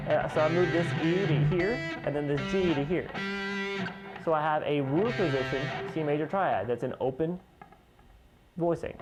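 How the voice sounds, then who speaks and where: A man teaching guitar in an informal, conversational way, explaining step by step and pausing as he points out each note. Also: a little high. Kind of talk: informal instructional lesson, demonstrating step by step.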